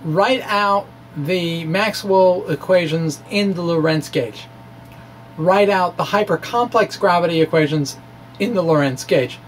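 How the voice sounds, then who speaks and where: A man presenting a physics lecture informally, with animated emphasis and a halting delivery full of stammered restarts. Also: high-pitched, a little hiss or hum, low-quality recording. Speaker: amateur physicist presenting his own theory. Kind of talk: informal physics lecture, reading of a blog talk.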